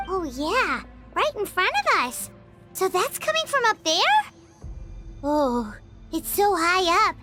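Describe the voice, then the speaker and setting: A woman voicing a small, excitable, easily scared childlike female fairy companion in a video game, whining and exclaiming. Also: very high voice, takes it slowly, music in the background, full character voice. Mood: uneasy. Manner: whiny, exclaiming, refers to herself in third person.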